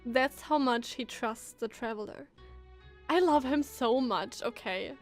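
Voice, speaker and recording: A woman giving casual live commentary as she reacts to a game story, expressive and musing aloud. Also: on the high side, a little slow. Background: game music.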